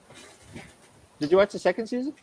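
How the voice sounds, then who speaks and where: A man in casual chat as a panelist, halting as he searches for his words. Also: sits high, animated, a little mellow, a little hiss or hum. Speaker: panelist on a comics drawing show.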